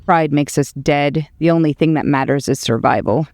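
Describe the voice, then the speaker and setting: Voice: medium pitch, moderate pace, a little mellow, dry recording, close to an everyday voice. An actor playing a hero's cocky, impatient inner voice in a video game, blunt, defiant and eager for a fight. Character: cocky, impatient, battle-hungry inner voice of a hero.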